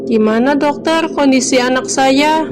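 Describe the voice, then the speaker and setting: A woman's voice: sits high, a little slow. An anxious young cartoon mother. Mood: worried. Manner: anxious, soft-spoken. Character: worried young mother at a hospital bed. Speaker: mother of a sick child. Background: background music.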